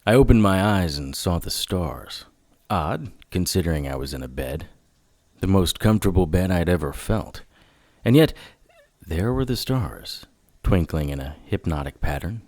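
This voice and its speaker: An actor playing a hard-boiled, wry supernatural investigator, narrating in first person in noir audio drama style. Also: male voice, low voice, animated, normal speed, darkish tone. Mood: dreamy. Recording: music under the voice. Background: music.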